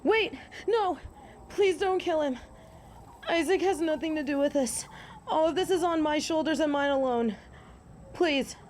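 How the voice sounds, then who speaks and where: A woman's voice: high-pitched, a little slow, pauses often, darkish tone, slight background noise. A woman playing a proud, honorable female werewolf in an audio drama, speaking in formal, old-fashioned, solemn phrases. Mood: desperate pleading.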